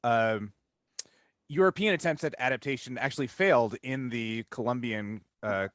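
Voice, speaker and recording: A man's voice: medium pitch, moderate pace, a bit bright, dry recording, clean studio sound. A man hosting a casual interview, rambling and interrupting himself as he thinks aloud. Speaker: interview host.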